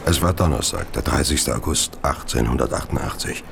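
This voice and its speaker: An actor playing a cold, drug-addled Victorian merchant who narrates his murders in a gravelly, measured, matter-of-fact voice. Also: very deep voice, noisy background, heavily acted. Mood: cold, self-satisfied. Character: cold, drug-addled 19th-century English cotton merchant confessing murders. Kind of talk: first-person radio drama narration.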